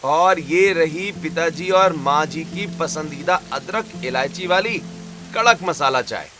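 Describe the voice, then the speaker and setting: A man's voice: on the high side, slow pace, over music. A man voicing a kindly, cheerful village farmer in a cartoon story. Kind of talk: cartoon story narration and voice acting.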